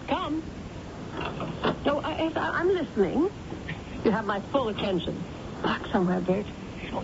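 A woman playing a brisk, wry, commanding female executive in 1970s radio drama style. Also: medium pitch, natural voice. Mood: wry, impatient. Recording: dull, noisy old radio recording.

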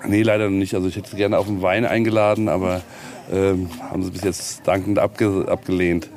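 A man's voice: deep voice, flat delivery, a little fast, a little mellow. A man being interviewed casually, talking reflectively and often searching for his words.

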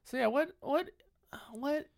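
Gravelly, animated man chatting casually. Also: very high voice, slow pace, dry recording, clean studio sound. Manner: wry, joking asides. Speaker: podcast co-host. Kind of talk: casual film chat.

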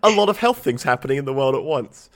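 A man co-hosting a casual podcast from his small flat, rambling a little with ums and restarts. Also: medium pitch, quick pace. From Australia. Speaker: podcast co-host. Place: small home flat, blanket around the mic. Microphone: home podcast microphone.

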